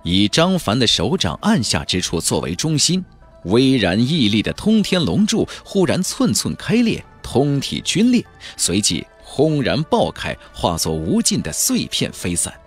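A man narrating a fantasy audiobook in a measured, theatrical storytelling delivery. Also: mid-range voice, wildly swooping pitch, slightly rough, faint music behind. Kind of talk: dramatic scripted narration of a fantasy novel.

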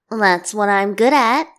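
A woman's voice: medium pitch, fairly bright tone. A woman voicing a playful, clingy boyish god and bard in a boyfriend ASMR roleplay, teasing and theatrical.

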